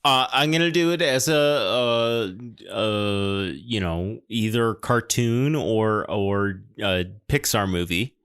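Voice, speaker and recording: A man talks casually as a podcast commentator. His voice sits on the low side and sounds very clean and resonant. He develops his points thoughtfully, pausing and circling back as he goes. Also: a bit bright, clean tone. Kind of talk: casual film discussion.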